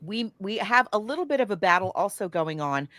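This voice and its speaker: A woman's voice: mid-range voice, sing-song, fairly quick, fairly bright tone, dry recording. A woman co-hosting a podcast, chatting casually about film in an emphatic, conversational way. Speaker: podcast co-host. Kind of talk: casual film discussion, recapping a plot.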